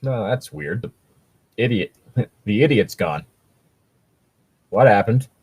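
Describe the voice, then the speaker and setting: Husky guy goofing around on a podcast, swears a lot, rambly and giggly, voice goes all over the place. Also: mid-range voice, expressive, slow, a little mellow. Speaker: co-host of a podcast. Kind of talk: casual banter, riffing and joking.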